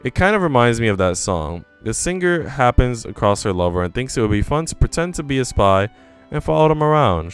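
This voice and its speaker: A man's voice: fairly low pitch, clear, resonant tone. A man reading visual novel narration aloud in a live, casual way, now and then restarting a line.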